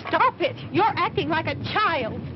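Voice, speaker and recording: Heavy noise covers this old horror film voice, a prim young sister. She is high-pitched and her intonation swoops widely. Her scolding is anxious and reproachful, that of someone easily frightened. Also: animated, a little slow. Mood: annoyed, anxious. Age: young adult. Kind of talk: 1960s low-budget horror film acting. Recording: very noisy, muffled old film soundtrack.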